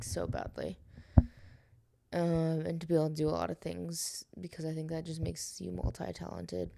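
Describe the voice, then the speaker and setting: Female podcast co-host, deep and gravelly, hesitant and full of fillers. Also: background noise. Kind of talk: casual podcast chat.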